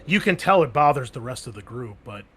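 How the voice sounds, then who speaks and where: A man's voice: medium pitch, very animated, brisk, a bit bright, a little hiss or hum. A man serving as game master, talking loosely with lots of filler words. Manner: loose and chatty, full of "like" and "um" fillers. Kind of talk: running a tabletop game over a call.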